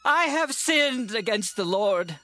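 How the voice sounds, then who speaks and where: An ancient king in scripture audio drama, commanding and passionate. The voice is high and very animated, theatrical in every phrase, with music clearly behind. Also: slow, full character voice. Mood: anguished. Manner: impassioned, theatrical.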